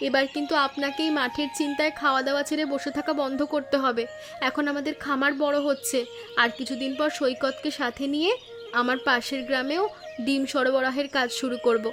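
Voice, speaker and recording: A woman's voice: high-pitched, level tone, brisk, over music, close to an everyday voice. A woman voicing a kind, dutiful young village daughter-in-law, earnest and respectful, in a cartoon drama. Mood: caring. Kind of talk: cartoon voice acting.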